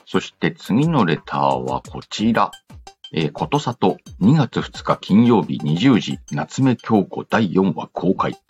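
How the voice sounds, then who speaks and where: A man with a deep voice hosts a casual show, reading listener mail aloud. His pitch moves around in a lively way as he drops in playful asides. The sound is a little dull, with music playing underneath. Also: slightly rough. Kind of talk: casual chatty radio-style talk. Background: background music.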